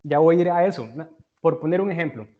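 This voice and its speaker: A Costa Rican man explains a lesson to his students in a slightly high voice, working through everyday examples and often pausing to check that they follow. The sound is dull and lo-fi, as from an online call. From Costa Rica. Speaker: teacher.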